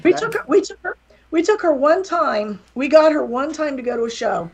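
Chatty West Virginia woman with a gravelly edge. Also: high-pitched, lively intonation, moderate pace, a little hiss or hum. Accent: slight Southern, West Virginia. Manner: rambling, self-deprecating, laughs often. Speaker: podcast guest. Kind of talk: casual interview chat.

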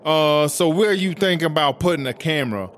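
A man's voice: fairly high pitch, expressive, fairly quick, a bit bright, slight background noise. A man playing a brash, vain, bossy female prison inmate in a comedic audio drama, with sassy, slangy talk. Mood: chatty, boastful. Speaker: interviewed inmate character.

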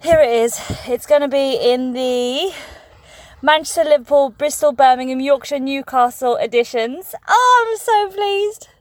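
A middle-aged woman, a comedian chatting casually to camera on her tour vlog, excitable and gushing. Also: high voice, a little slow, dry recording. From England.

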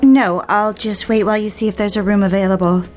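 A woman's voice: mid-range voice, fairly even intonation, a little fast, darkish tone, lightly acted. An actress playing an anxious, lovelorn woman with wounded pride in a period melodrama, genteel and dramatic. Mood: flustered, polite. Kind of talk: period melodrama acting with first-person narration.

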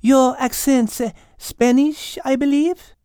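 A man's voice: very high voice, fairly even intonation, takes it slowly, warm tone, clear, resonant tone, no echo, studio quality, pitched above the performer's own voice. A man voicing a petite, intellectual female cat with a French accent, precise and composed, in an animal storybook. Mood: poised, refined. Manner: precise, refined, sprinkles in French words.

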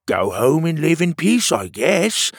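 A man's voice: fairly high pitch, sing-song, a little slow, breathy edge, no room sound, clean studio sound, pitched above the performer's own voice, exaggerated voice. A man voicing a bold, plainspoken platypus hero in a children's storybook. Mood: easygoing.

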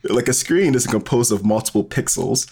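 A man's voice: mid-range voice, lively intonation, normal speed, creaky, a little mellow, slightly rough. A man speaking as an interview guest, explaining things informally and step by step with many hesitant fillers. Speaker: podcast guest, bioinformatics professional and storyteller. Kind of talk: casual explanatory interview talk.

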